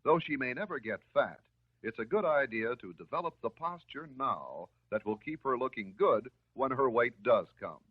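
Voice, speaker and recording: An American man delivering scripted narration in the style of a 1950s educational film, measured, instructive and reassuring. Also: mid-range voice, animated, breathy edge. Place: voice-over recorded for film. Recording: muffled, narrow-band 1950s film soundtrack.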